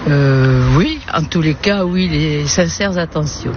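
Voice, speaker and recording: An older woman from Toulouse with a soft Toulouse accent, answering a radio interview with frequent laughs and a habit of repeating "voilà". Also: low-pitched, slow. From Toulouse, France. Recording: lo-fi with background noise.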